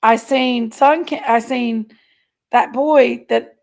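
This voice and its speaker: A woman's voice: fairly high pitch, fairly even intonation, slow, creaky. A woman, chatting frankly and giggling as she hosts a live stream and reads viewer comments. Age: 40s. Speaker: livestream host. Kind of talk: casual chat reading viewer comments.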